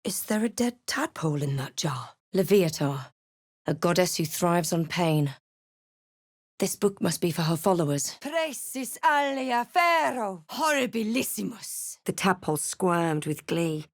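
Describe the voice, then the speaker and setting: A woman playing an adventurous, thoughtful fantasy hero in a role-playing game, with a measured, literary and dramatic delivery. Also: lowish voice, sing-song, no echo, studio quality, exaggerated voice. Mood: wry, watchful, then incanting spells. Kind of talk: scripted game voice lines and narration.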